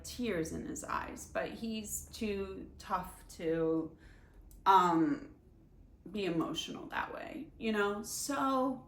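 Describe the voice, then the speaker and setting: Woman, 40s, from Iran; unhurried, confiding vlog storyteller who restarts often, mid-pitched, in an echoey room. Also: fairly bright tone. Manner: halting, confiding, many restarts and fillers. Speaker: vlogger. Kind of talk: candid personal storytelling.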